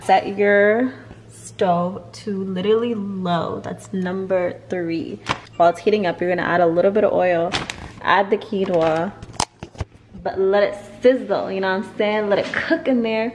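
A woman's voice: mid-range voice, unhurried, background noise. A young woman filming a casual cooking tutorial in her home kitchen, talking slangy and chatty to her viewers and calling them y'all. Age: young adult. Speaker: cooking vlogger. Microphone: camera on the counter and handheld.

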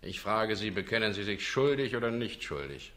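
An actor playing a stern English judge in a radio crime drama, measured and ceremonial in delivery. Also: male voice, lowish voice, sing-song, fairly bright tone. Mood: stern, formal.

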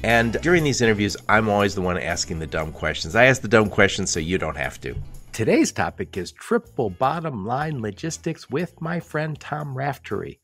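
A man's voice: lowish voice, sing-song, faint music behind. A man hosting a podcast, reading an upbeat intro and then interviewing with enthusiasm. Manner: warm enthusiastic delivery, slow emphatic intro. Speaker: podcast host.

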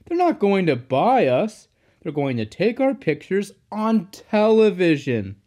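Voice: medium pitch, sing-song, unhurried, dark tone, pitched above the performer's own voice. A storybook narrator voicing a hearty, teasing male engine driver who exclaims as he talks. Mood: amused. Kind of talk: children's storybook character voice.

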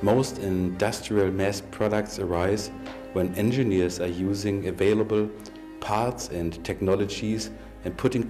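A middle-aged man, a company executive, giving a prepared interview statement with deliberate, slightly halting phrasing. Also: deep voice, a little mellow. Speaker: company president and chief executive. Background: faint music.

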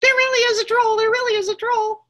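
A tiny storybook billy goat, acted out by a woman, timid and quick to panic. The voice sits very high and pleads anxiously, like a frightened little brother. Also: fairly even intonation. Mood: panicked. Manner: pleading, alarmed.

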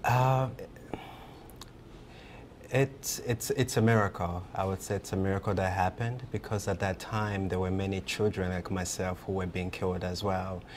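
A young man, speaking earnestly as a guest in a TV news studio interview and pausing often on fillers. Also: low-pitched, fairly even intonation, a little hiss or hum. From Rwanda. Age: 20s. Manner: earnest, halting with many fillers.

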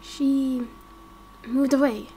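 A woman voicing a gentle, hesitant, protective young father in an amateur game roleplay. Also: sits high, level tone, very slow, a little hiss or hum.

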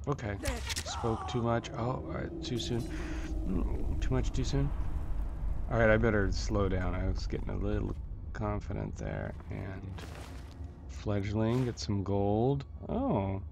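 A man giving casual commentary on his own gameplay, muttering and thinking aloud with halting delivery and mild folksy exclamations. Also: fairly low pitch, fairly even intonation, gravelly, noisy background. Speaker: gamer narrating own playthrough. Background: video game music.

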